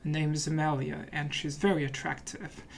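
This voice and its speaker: A man's voice: on the high side, flat delivery, normal speed, creaky, slight background noise. A man talks casually through a game as he plays it. His delivery is playful and often mock-serious, in the style of a let's play host. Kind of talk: casual gameplay commentary.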